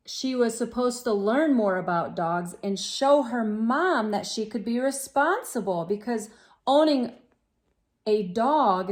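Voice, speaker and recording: A woman reading a storybook aloud to children, talking to them directly in an expressive, exclaiming manner. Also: medium pitch. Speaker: children's read-aloud host.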